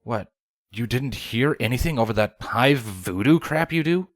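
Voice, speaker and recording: A man voicing a weary, gruff, irreverent soldier pony in a storybook reading. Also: mid-range voice, expressive, moderate pace, no room sound, studio quality, lightly acted. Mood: exasperated.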